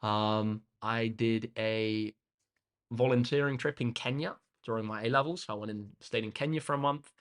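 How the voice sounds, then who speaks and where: A young English man hosting a maths livestream, chatting casually with viewers in a relaxed way and using lots of ums and uhs. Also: mid-range voice, normal speed. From England. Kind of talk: casual chat answering live comments. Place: indoor room facing a big window.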